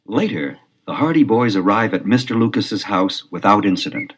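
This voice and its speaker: A man's voice: lowish voice, lively intonation, moderate pace, a bit bright. A man narrating a 1970s audio adventure with short scripted scene-setting lines.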